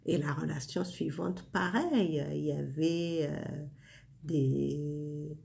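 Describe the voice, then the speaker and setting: A woman in her forties shares reflections in a casual vlog, talking softly and directly to the listener. Her delivery is slow and relaxed, with a warm, dark tone. A gravelly fry runs through her voice, and she fills pauses with "hein" and "euh". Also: low-pitched. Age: 40s. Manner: intimate, addresses the listener directly, many fillers. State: winding down before bed. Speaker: vlogger sharing inspiration.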